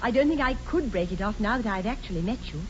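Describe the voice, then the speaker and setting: A woman's voice: mid-range voice, expressive, fast talker, natural voice. A woman playing a sweet, prim, self-assured young English ward in a 1940s radio comedy, with an upper-class accent and a genteel, precise delivery. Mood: dreamy, earnest. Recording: dull, lightly hissy 1940s radio recording.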